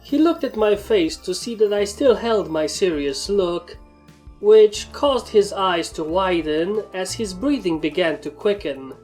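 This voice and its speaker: A woman's voice: medium pitch, darkish tone. A woman reading scripted story narration as the narrator of a fan fiction tale. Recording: music behind the voice.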